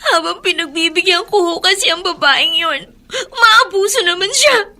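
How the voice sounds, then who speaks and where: A feisty Filipino teenage daughter in a radio drama. Her voice is very high and bright, plaintive and indignant while still respectful. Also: moderate pace, exaggerated voice. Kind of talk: Tagalog radio drama acting.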